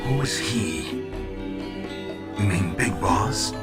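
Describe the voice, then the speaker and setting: Video game role: stoic male soldier, very low voice, terse, grave questioning, loud score underneath. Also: lively intonation, takes it slowly. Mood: shocked, probing. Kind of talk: dramatic video game voice acting. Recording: loud music behind the voice. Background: loud dramatic game score.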